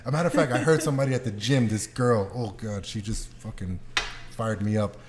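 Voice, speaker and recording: A man talking casually and bluntly as a podcast host, opinionated and quick to swear. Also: fairly low pitch. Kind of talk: casual group podcast chat.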